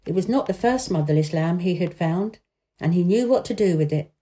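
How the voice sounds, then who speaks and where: A woman's voice: on the low side, expressive, brisk, warm tone. A woman reading a children's novel aloud as a storybook narrator.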